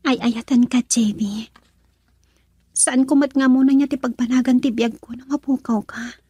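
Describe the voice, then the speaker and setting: A woman's voice: on the high side, fairly even intonation, moderate pace, dark tone, husky, dry recording. A young woman playing a tender, anxious girl in love in an Ilocano radio drama. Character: tender, anxious young sweetheart afraid of her mother.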